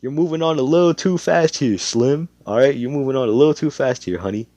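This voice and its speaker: A man's voice: medium pitch, a little fast, warm tone, no room sound, voice pushed up, lightly acted. A man voicing a protective, laid-back dad in a told story, cautioning in slangy speech. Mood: cautioning, alarmed.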